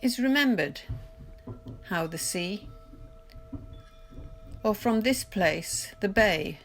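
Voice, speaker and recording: A woman reading poetry aloud in a measured, deliberate literary recitation, pausing between phrases. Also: mid-range voice, animated. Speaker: poetry reader. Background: music.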